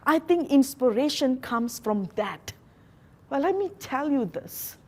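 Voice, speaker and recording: A woman from Malaysia, not a native English speaker, giving an earnest motivational talk with slow, emphatic phrases. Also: sits high, lively intonation, darkish tone. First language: a Malaysian local language.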